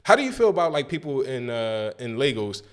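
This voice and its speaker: Male host, middle pitch, relaxed conversational interviewer who chats freely. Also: brisk. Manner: easygoing, chatty, lots of "you know what I'm saying". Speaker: podcast host. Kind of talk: casual interview chat.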